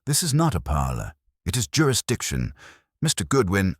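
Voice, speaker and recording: A man voicing an imperious master detective in an audiobook, formal, pompous and deliberate. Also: sits low, wildly swooping pitch, no echo, clean studio sound, pitched above the performer's own voice, full character voice. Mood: stern. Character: imperious, verbose male master detective, cool and commanding.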